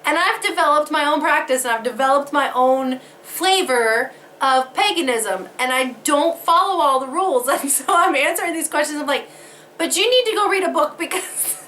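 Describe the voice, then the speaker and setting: A woman's voice: high-pitched, pushed and bright, a little hiss or hum. A woman talking casually to camera, losing her voice from a head cold, laughing often and swearing casually. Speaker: vlogger.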